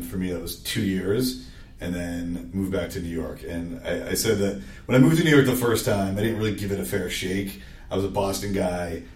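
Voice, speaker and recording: A man's voice: deep voice, roomy sound, distant mic. A man from Maine, a podcast guest, chatting casually and jokingly about where he grew up. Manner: relaxed, joking, trails off and restarts. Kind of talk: casual interview chat. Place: large conference room with windows.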